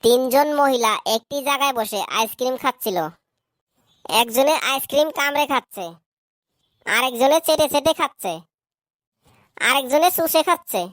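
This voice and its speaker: High-voiced cheeky cartoon schoolboy played by a woman. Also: moderate pace, pauses often, fairly bright tone, no room sound. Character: cheeky schoolboy pupil, eager and clever. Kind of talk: cartoon comedy dialogue.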